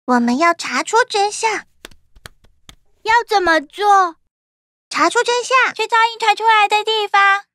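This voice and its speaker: Very high little goat child. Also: female voice, a little slow, long pauses, pushed and bright, exaggerated voice. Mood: curious, determined. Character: young goat kid, worried yet curious child. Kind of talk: children's cartoon dubbing.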